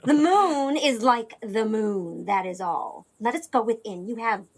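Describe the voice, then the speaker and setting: Husky, scornful queen. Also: fairly high pitch, lively intonation, a little slow. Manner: curt, dismissive. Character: scornful, impatient queen and wife. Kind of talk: theatrical audio drama acting.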